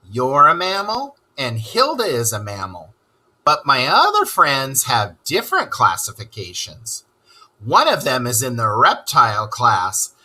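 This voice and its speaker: A man's voice: a little high, pushed and bright, slightly rough. A man teaching a children's read-aloud lesson in a playful storytelling way, speaking to young listeners as a voice-over on slides.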